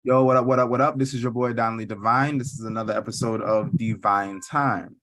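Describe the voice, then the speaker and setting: A man's voice: mid-range voice, fairly even intonation, a little fast, a bit bright, dry recording. Laid-back man hosting a podcast. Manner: laid-back, repeats filler phrases. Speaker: podcast host.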